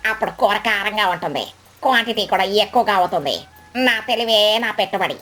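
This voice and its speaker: A woman voicing a greedy, sly female crow food vendor, boastful and scornful, in an animated children's story. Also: mid-range voice, fairly quick, lightly acted. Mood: smug scheming. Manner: smug, haughty, scornful. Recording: background music bed.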